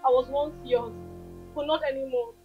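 A woman's voice: high-pitched, fairly even intonation, moderate pace, natural voice. An actress playing a betrayed young woman, tearful and accusing. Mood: wounded. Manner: tearful, accusing, shouting at times. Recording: dull lo-fi film audio with music.

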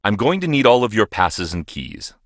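A man's voice: fairly low pitch, very animated, normal speed, dry recording, clean studio sound. A man playing a stern, impatient lab director in a video game, bossy and brusque. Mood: irritated.

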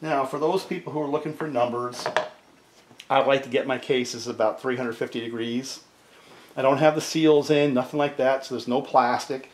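A man's voice: mid-range voice, fairly even intonation, normal speed. A middle-aged man casually explaining repair steps at his workbench, often asking "right?" as he talks, recorded by a camera mic in a cluttered home workshop. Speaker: chainsaw repair video host. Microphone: camera mic on a tripod, speaker at the workbench. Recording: echoey workshop with background noise.

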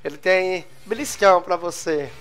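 A man's voice: high voice, normal speed, fairly bright tone, clean tone. Jokey young male talk show host. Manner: teasing, jokey, laughs often. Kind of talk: lively talk show interview. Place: small TV studio, indoors. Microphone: handheld microphone.

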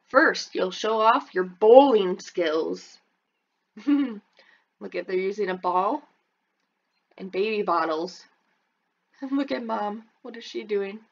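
A woman reads a picture book aloud to her class at a slow, patient pace, pausing to ask the children about the pictures. She raises her voice high for the kids in the story. The recording is a bit dull and lo-fi. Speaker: preschool teacher.

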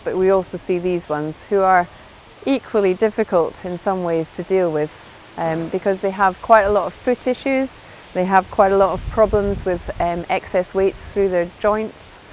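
Matter-of-fact woman vet, slightly low voice. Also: a little mellow, slight background noise. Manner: measured, matter-of-fact, frequent ums. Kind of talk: on-camera explanation. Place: outdoor stable yard by a brick wall. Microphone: lapel mic.

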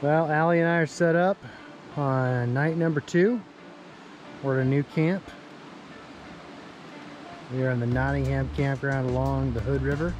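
A man's voice: medium pitch, steady tone, a little slow, pauses often, music in the background, low-quality recording. A man in his forties filming an outdoor camping vlog, narrating and coaching with enthusiasm at a lake and campsite. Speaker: vlogger. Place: outdoors at a forest lakeside and campsite.

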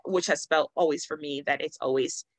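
A woman's voice: sits low, normal speed, no echo. A woman literary translator on an online panel, telling earnest anecdotes with many ums, speaking over a computer video call from an indoor room. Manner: earnest, animated storytelling with ums.

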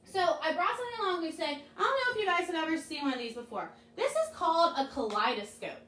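A young woman leading a children's chapel lesson from her living room couch, talking brightly and animatedly to the kids. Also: very high-pitched, big pitch swings, quick pace, slight background noise. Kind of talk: children's devotional and craft lesson. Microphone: camera a few feet away. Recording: echoey room, mic at a distance.

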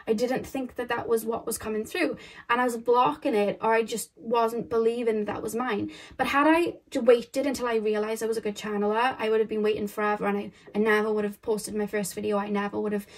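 Woman, young adult, slightly croaky, rambling, tarot reader, casual. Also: fairly high pitch, flat delivery, fairly quick. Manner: chatty and rambling, repeats phrases, many fillers. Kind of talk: casual pick-a-card tarot reading. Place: indoors at a table, camera overhead.

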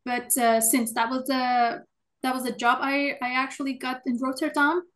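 A woman's voice: high voice, flat delivery, moderate pace, fairly bright tone. A woman speaking as an alumna on a webinar panel over a video call, answering earnestly with frequent hesitations. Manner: earnest, hesitant, many uh fillers.

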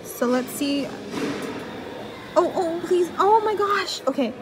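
A young woman vlogging casually in a large indoor mall, chatty and pleading excitedly at a claw machine, recorded on a handheld phone. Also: extremely high pitch, slow, background noise. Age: young adult. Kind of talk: casual vlog commentary. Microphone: phone camera at arm's length.

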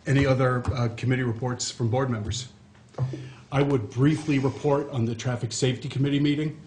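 A middle-aged man chairing a town board meeting in a measured way, with many pauses and fillers. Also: medium pitch, steady tone, darkish tone, slight background noise, low-quality recording. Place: town hall meeting room.

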